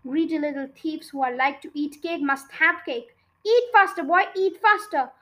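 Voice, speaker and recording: A woman voicing a big, furious headmistress who barks orders and shouts at a child. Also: extremely high pitch, steady tone, a little fast. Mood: bullying. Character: bullying, furious female headmistress, big and harsh.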